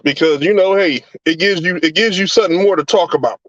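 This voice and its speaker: A male guest in casual chat, relaxed and rambling with lots of fillers. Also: sits high, fast talker, no room sound, highs cut off. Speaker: guest co-host.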